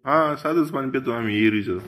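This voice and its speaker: A man's voice: mid-range voice, brisk, fairly bright tone, lightly acted. A man playing a placating middle-aged father-in-law in a comedy cartoon, with a rural Maharashtrian accent. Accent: rural Maharashtrian Marathi. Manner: soothing, conciliatory to his guest. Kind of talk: comedy cartoon dialogue in colloquial Marathi.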